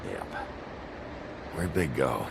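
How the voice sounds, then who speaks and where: A very low, gravelly hard-boiled sheriff from a noir fairy-tale game. He is gruff and weary, speaking tersely and slowly. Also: sing-song, noisy background, full character voice. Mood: weary, dry. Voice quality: deep, gravelly. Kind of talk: noir video game voice acting.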